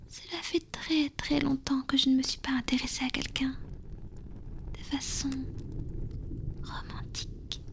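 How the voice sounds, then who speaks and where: An ancient female vampire , played by a woman, speaks in a high, soft whisper for an ASMR romance roleplay. She is wise and powerful, yet tender and hesitant with her lover. Her delivery is very unhurried and intimate, with breathy pauses between phrases. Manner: slow, intimate, halting with pauses.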